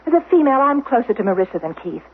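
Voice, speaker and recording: A woman's voice: a little high, very animated, racing, a little hiss or hum. An actress playing a guarded, indignant wife and mother in 1970s radio drama, defensive and sardonic. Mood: scornful, indignant. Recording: thin phone-like old radio broadcast.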